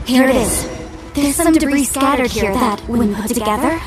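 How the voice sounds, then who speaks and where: A woman's voice: high voice, normal speed, natural voice. An actress playing an earnest young woman researcher explaining ruins in game dialogue. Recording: game audio with music underneath.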